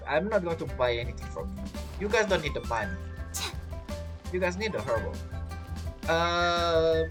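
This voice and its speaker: Casual male game streamer, lively swinging intonation, halting, repetitive reactions to characters, faint music behind. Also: on the high side. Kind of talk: casual let's play commentary.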